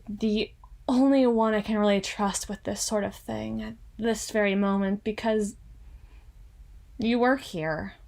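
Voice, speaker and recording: A woman playing a frazzled, proud young female CEO in an ASMR roleplay, halting and stammering as she corrects herself. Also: fairly high pitch, a little mellow. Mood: flustered, defensive.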